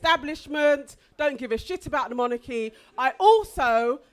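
A woman's voice: high voice, expressive, normal speed. A middle-aged woman co-hosting a live podcast, cheeky and rambling. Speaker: podcast co-host.

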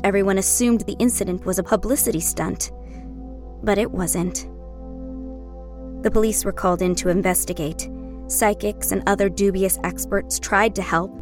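A woman's voice: mid-range voice, natural voice. An actress playing a headstrong, flippant American film student in her early twenties in an audio drama. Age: early 20s. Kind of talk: audio drama acting, documentary narration and casual banter.

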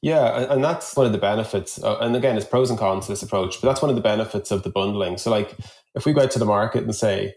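A man's voice: on the low side, fast talker, darkish tone. A man in a conversational podcast discussion, thinking aloud at length and working through examples. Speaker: podcast guest, product executive. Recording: roomy echo on the voice.

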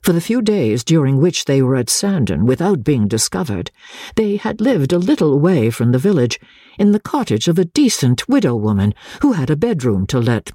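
A woman narrator with a husky, low voice reads literary fiction deliberately and formally. Her pitch moves expressively through long sentences, and the recording is close and dry. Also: sing-song, mellow, no room sound. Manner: measured, deliberate, formal storytelling delivery. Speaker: audiobook narrator.

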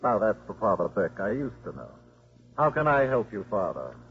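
An actor playing a genial, dignified cardinal with a drily playful manner in a 1950s radio drama. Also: male voice, fairly low pitch, sing-song, normal speed, breathy edge, full character voice. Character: genial, urbane Cardinal, teasing old pupil. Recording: muffled, noisy 1950s radio broadcast.

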